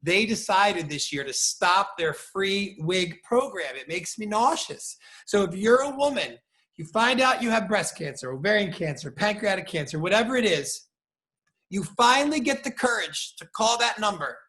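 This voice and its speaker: A middle-aged American man, a salon owner, talking in an animated, emphatic way as an interview guest over a video call. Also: high voice, normal speed. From United States. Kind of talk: casual interview chat.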